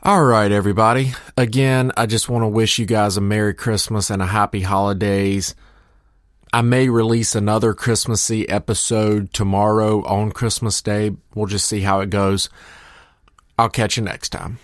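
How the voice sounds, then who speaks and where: Male podcast host, lower register, close dry recording, casual commentary on vintage radio. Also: little pitch movement, normal speed, no echo.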